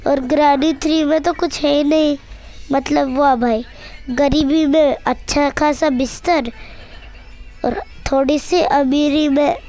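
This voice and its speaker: High-pitched young girl gamer, unhurried. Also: fairly even intonation, a little mellow. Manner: chatty, playful, breaks into nonsense sounds and singing. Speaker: gamer commentating on own play. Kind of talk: casual gameplay commentary. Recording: music behind the voice.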